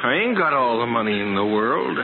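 An actor playing a simple, eager settler in a 1950s radio western, with a rural western American accent and a naive, stammering manner. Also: male voice, medium pitch, sing-song, a little fast, narrow, tinny audio, crowd noise. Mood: uneasy. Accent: rural American West. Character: simple, eager, broke prairie settler, male. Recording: noisy, clicking old radio recording.

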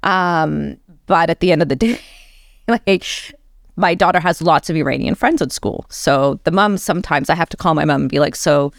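Middle-aged woman, casual talk, gravelly texture, acts out quoted voices. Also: sits low, normal speed, dry recording, clean studio sound. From Canada. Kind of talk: casual conversation.

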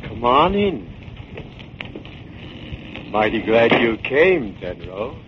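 A man's voice: medium pitch, very animated, extremely slow. A man playing a sly, cringing, murderous crook in a 1940s radio drama. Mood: fawning, nervous. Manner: wheedling, obsequious, then gloating. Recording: muffled, noisy 1940s radio recording.

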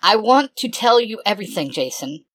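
A man voicing a remorseful female mentor figure who speaks softly and pleadingly, as if near tears. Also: high-pitched, big pitch swings, normal speed, voice pushed up, heavily acted. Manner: soft and pleading. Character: remorseful female mentor being, tearful and defensive.

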